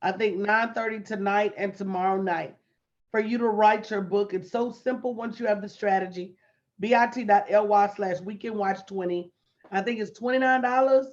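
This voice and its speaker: A woman's voice: mid-range voice, steady tone, moderate pace, a little mellow. A woman co-host giving ticket details in a practical, casual way. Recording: dull lo-fi stream audio.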